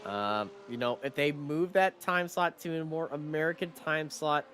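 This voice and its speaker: A raspy-voiced man chats loosely as a racing stream commentator. He rambles and restarts his sentences, with a faint hiss of noise behind him. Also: fairly high pitch, normal speed, a bit bright. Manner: rambling, many fillers and restarts. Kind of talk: casual chat on a live racing stream.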